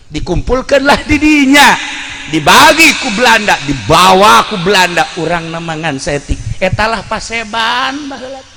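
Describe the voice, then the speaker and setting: A man's voice: high voice, gravelly, sharp tone. A man with a Banten Sundanese accent preaching and telling stories in a blunt, colloquial way, repeating phrases for emphasis. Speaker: Islamic preacher. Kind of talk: Sundanese sermon with storytelling. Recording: reverberant hall, background noise.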